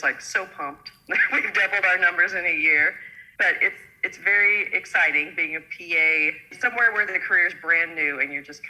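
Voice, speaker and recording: A woman's voice: low voice, pushed and bright, low-quality recording. A middle-aged woman from the United States with an Oklahoma accent, a physician assistant chatting as a guest, laughing often. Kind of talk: casual interview talk.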